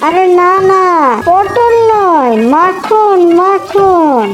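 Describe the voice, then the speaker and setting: A woman's voice: very high-pitched, very slow, over music, heavily acted. A woman voicing a chatty, pushy but friendly vegetable seller in a cartoon, talking in a familiar, persuasive way. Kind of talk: cartoon dialogue.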